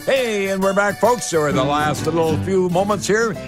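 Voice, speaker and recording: A man's voice: sits high, normal speed, music in the background. Folksy Canadian man hosting radio advice. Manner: folksy, emphatic, repeats himself for emphasis. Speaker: radio call-in show host. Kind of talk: home improvement radio advice talk. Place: radio studio.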